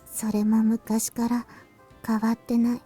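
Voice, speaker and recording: A woman acts out a modest schoolgirl from a visual novel, with a high but very mellow, soft-edged voice. She speaks in an understated, matter-of-fact way, with faint game music behind her. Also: completely flat delivery, normal speed, close to an everyday voice. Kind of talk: Japanese visual novel voice acting.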